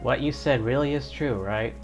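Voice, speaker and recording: A man's voice: mid-range voice, normal speed. A man with a mid-range voice comments live on the game he is streaming. He is casual and offhand, as if talking to himself, with faint game music behind.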